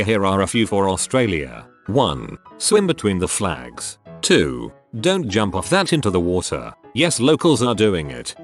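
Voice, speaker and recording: Lively low-voiced male story narrator. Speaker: narrator reading online stories. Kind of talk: scripted narration. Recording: faint background music.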